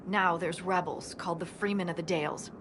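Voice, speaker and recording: An actress playing a grave female scout in a video game, giving a formal, measured briefing. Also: on the low side, steady tone, background noise, natural voice.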